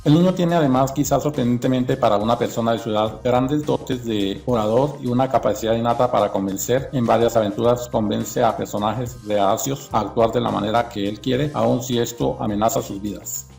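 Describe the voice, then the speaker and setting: A man gives scripted documentary narration in a medium-pitched voice with a creaky grain. Soft music plays behind him. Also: fairly quick, few pauses.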